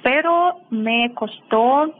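Russian woman, non-native speaker, slow halting delivery, higher voice, tinny phone line. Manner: halting, searching for words, with frequent fillers. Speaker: guest, language teacher and film translator. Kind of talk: casual interview over a call.

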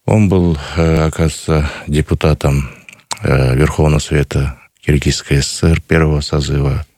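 A man, answering a radio interviewer earnestly and slowly, with many pauses. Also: extremely low pitch, fairly even intonation, darkish tone, no echo, studio quality. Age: 50s. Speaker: guest, grandson of a missing soldier.

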